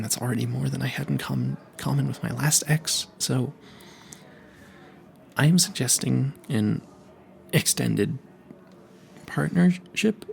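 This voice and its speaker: A man's voice: mid-range voice, gravelly, a little hiss or hum, exaggerated voice. A man playing a nervous, smitten and clueless vampire hunter in an audio roleplay, stammering and correcting himself as he speaks to the listener. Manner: flustered, stammering, halting and self-correcting.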